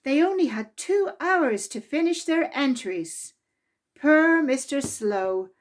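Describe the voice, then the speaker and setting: A woman with a high voice reads a children's storybook aloud at a very unhurried pace. She narrates expressively and deliberately, stretching key words for young listeners. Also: lively intonation.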